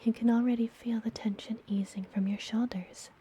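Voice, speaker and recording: A woman playing a friendly apothecary witch in a fantasy ASMR roleplay, speaking gently in a breathy, soothing whisper. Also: a little high, steady tone. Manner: slow, gentle, soothing delivery. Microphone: close ASMR microphone.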